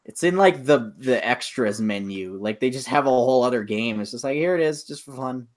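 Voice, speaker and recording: A man's voice: mid-range voice, lively intonation, fairly quick. A man co-hosting a podcast, chatting casually about movies and video games. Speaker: podcast co-host.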